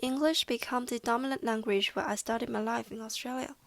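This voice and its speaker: A woman's voice: a little high, fairly even intonation, brisk, creaky, no room sound. A woman playing an earnest Chinese student. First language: Mandarin. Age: 20s. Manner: earnest, careful scripted delivery.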